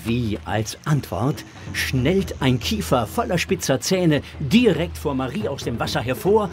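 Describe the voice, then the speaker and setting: A man's voice: fairly high pitch, animated, measured pace, slight background noise. A man narrating a scripted audio drama for children.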